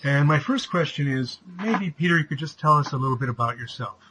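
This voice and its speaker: A man hosting an online interview, opening formally and asking his guest measured questions. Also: fairly high pitch, expressive, bright, forceful tone, slight background noise, low-quality recording. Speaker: interview host.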